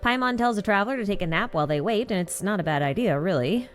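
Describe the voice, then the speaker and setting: A woman's voice: medium pitch, animated, fast, soft background music. A woman reading conversational scripted narration for a game recap, with wry, informal asides.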